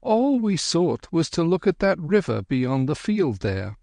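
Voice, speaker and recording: A quick-witted street boy answers back in a lifted, tart, cocky voice, as a man reads him. The sound is close and dry, with a slight gravelly grain. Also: a little high, sing-song, a little mellow, no room sound, voice pushed up, full character voice.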